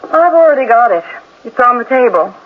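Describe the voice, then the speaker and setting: Hurt wife, muffled noisy radio. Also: female voice, on the high side, sing-song, a little fast. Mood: brisk. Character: hurt wife who refuses to hear confessions. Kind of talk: 1970s radio mystery drama acting.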